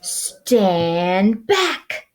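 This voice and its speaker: A woman voicing a mean, blustering male prairie dog bully in a picture book, with a booming, snarling delivery. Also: very animated, very slow. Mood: scornful.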